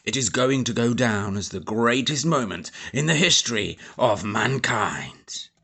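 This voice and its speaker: A man's voice: mid-range voice, animated, a little slow, vocal fry, fairly bright tone, husky, pitched above the performer's own voice, heavily acted. A man voicing a pompous, blustering middle-aged army major who sneers and splutters, in an audiobook. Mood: boastful. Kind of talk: audiobook character voice.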